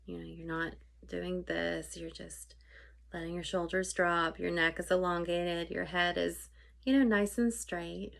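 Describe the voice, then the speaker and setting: A woman leading a guided meditation in an informal, conversational way, unscripted, with halting phrasing that trails off softly. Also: on the low side, steady tone, gravelly.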